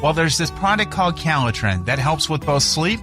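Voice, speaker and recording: Animated male ad announcer over music. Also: fairly high pitch, normal speed. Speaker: commercial announcer. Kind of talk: upbeat radio ad read. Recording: music bed behind voice.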